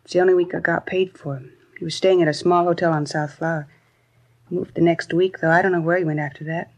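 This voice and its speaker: An actress playing a disgruntled young secretary in a 1950s radio crime drama, talking in casual, complaining, clipped phrases. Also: female voice, fairly low pitch, fairly even intonation, quick pace, poor audio. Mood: matter-of-fact, grudging. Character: disgruntled young secretary, talkative, put-upon, street-wise.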